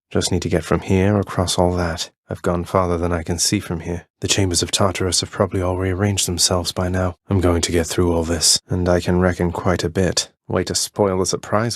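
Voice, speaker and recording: A very deep, husky young prince of the underworld for a video game. He is defiant and wry, tossing off short battle lines with dry, sardonic understatement. The sound is close and dry, with no room around it. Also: no room sound. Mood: determined.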